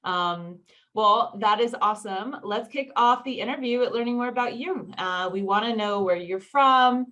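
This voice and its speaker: A woman's voice: a little high, normal speed, pushed and bright, dull audio. A woman hosting a podcast interview, bubbly and enthusiastic with her guest. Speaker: podcast host.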